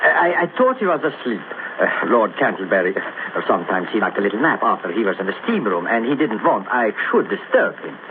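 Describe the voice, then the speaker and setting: An actor playing a nervous, servile bathhouse attendant in a 1940s radio mystery, halting and apologetic. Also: male voice, fairly low pitch, very animated. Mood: nervous, defensive. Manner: hesitant, deferential, halting. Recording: tinny, noisy 1940s radio recording.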